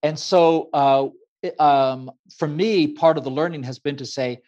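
A man who grew up in Ecuador, speaking as an author and guest in a measured, thoughtful way with many fillers. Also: on the high side, darkish tone, lo-fi sound. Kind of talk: reflective interview answer.

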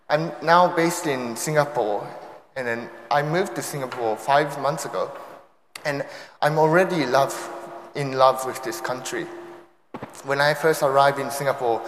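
A man's voice: medium pitch, a bit bright, a little hiss or hum. Young Japanese-accented man in echoey hall. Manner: light self-deprecating humor. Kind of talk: sermon talk. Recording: echoey hall with long reverb.

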